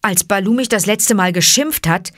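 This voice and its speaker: A woman voicing a young boy raised in the jungle, excited, insistent and pleading. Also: mid-range voice, normal speed, no room sound, clean studio sound, natural voice. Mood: unsure.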